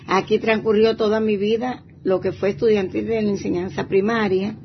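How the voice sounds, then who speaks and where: A woman's voice: mid-range voice, little pitch movement, moderate pace. An older woman from Santiago de Cuba province with an eastern Cuban accent, a retired teacher giving a personal testimony. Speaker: retired primary school teacher, interviewee. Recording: muffled, faint background noise.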